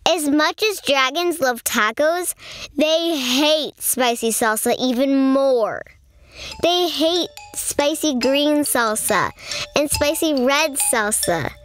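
A woman reading a picture book aloud to young children in an expressive storytelling manner. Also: sits high, dry recording, clean studio sound. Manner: expressive, addressing young listeners. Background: music.

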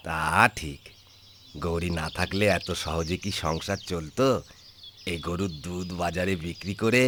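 A practical, good-natured village husband in a cartoon, voiced by a man. His voice is a little low and homely, teasing and mildly bossy, with faint noise behind. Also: fairly even intonation, a little slow, a bit bright. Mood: practical, caring.

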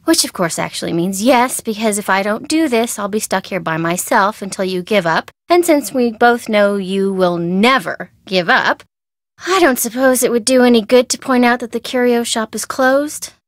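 An actress playing a perky, nervous young woman sidekick in a video game, with an American accent and a chatty, wry, expressive delivery. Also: fairly high pitch, normal speed, dry recording, studio quality. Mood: exasperated. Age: young adult. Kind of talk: scripted video game dialogue, phone-call chatter.

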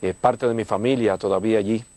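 Older Cuban church leader, dry studio. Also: male voice, fairly low pitch, fairly even intonation, fast talker, a little mellow, dry recording. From Cuba. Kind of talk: studio interview. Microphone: lapel.

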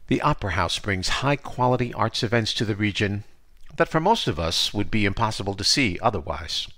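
An older American man hosts a podcast in a low-pitched voice. He delivers his words like prepared announcements for listeners. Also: a bit bright. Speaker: podcast host.

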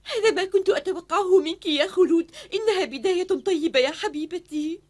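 A woman playing a devoted young governess in a dubbed cartoon drama, earnest and expressive. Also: extremely high pitch, brisk. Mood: tender, pleased. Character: devoted young female governess, tender and emotional.

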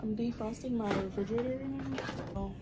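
A woman's voice: fairly high pitch, a little fast. A woman of about twenty with an American accent, chatting casually and slangily in a vlog, talking to herself and her viewers. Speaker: college student vlogger. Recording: very noisy handheld vlog audio.

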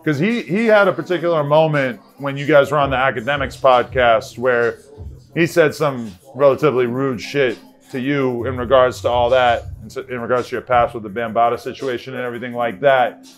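A man's voice: mid-range voice, brisk, soft background music. An American man in his thirties hosting an interview, asking casual, rambling questions in informal language. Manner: informal, rambling, profane phrasing. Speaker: podcast host. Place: seated at table before branded backdrop, indoors.

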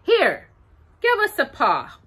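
Lady doing a polite careful rabbit guy, prim, highish and bright. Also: huge pitch swings, takes it slowly, full character voice. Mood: matter-of-fact. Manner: prim, proper, slightly fussy.

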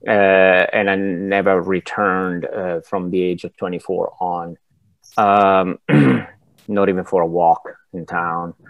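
An Italian-accented man in his forties answering interview questions from home, his lowish voice picked up dry and close by earphone mics. He pauses and restarts often, feeling his way toward each thought. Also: fairly even intonation, creaky, no room sound, highs cut off. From Italy. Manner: thoughtful, halting, searches for words with many restarts. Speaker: film director, masterclass guest. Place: home room, indoors. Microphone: wired earphones with mic on a video call.

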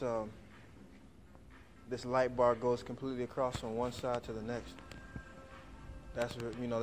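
A man giving a casual walkaround of a car in a showroom, reading out the specs with plenty of fillers. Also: mid-range voice. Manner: flat, unhurried, reads specs aloud with fillers. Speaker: car vlogger.

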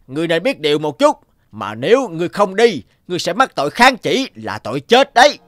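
A man's voice: a little high, wildly swooping pitch, brisk, fairly bright tone, no echo. A man voicing a stern, officious royal messenger in a cartoon, commanding and threatening. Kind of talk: animated moral tale dubbing. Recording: music behind the dialogue.

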